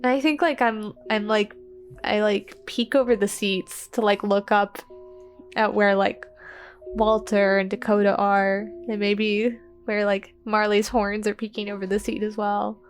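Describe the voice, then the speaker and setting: A woman playing a tabletop game, casually describing her character's actions in an informal, halting way. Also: mid-range voice, little pitch movement. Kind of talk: casual improvised roleplay narration. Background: music.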